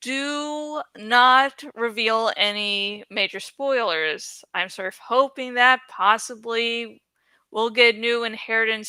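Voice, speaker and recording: Bright, clear-voiced woman chatting to viewers. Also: fairly high pitch, dry recording. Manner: rambling, playful, self-deprecating, giggly. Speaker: YouTuber who reads books aloud. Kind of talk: casual, chatty vlog talk to viewers.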